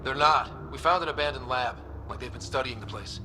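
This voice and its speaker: An actor playing an earnest, quick-witted young male space explorer in a video game cutscene. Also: medium pitch, background noise, lightly acted. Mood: earnest, uneasy.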